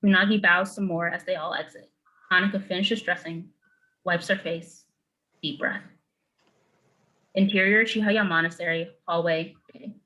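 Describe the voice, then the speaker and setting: A woman's voice: fairly low pitch, fairly even intonation, moderate pace, long pauses, pushed and bright, highs cut off. A woman narrator reading screenplay stage directions in clipped, matter-of-fact phrases.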